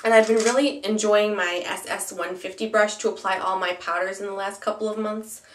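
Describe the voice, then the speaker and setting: A woman's voice: medium pitch, steady tone, a little fast, far from the mic, slight background noise. Nasal young woman with a cold, echoey room. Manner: chatty, with frequent ums and restarts. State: stuffy-nosed from a cold. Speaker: beauty vlogger. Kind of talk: casual product review.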